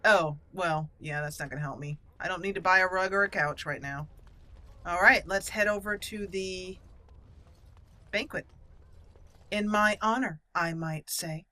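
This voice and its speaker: A woman's voice: fairly low pitch, normal speed, bright, forceful tone. A woman giving casual commentary while she plays and roleplays through a video game. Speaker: gaming channel host playing a roleplay.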